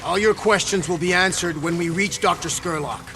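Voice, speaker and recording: A man's voice: high voice, moderate pace, background noise. An actor playing a combative, commanding armed male escort in a video game cutscene, with intense delivery. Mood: firm.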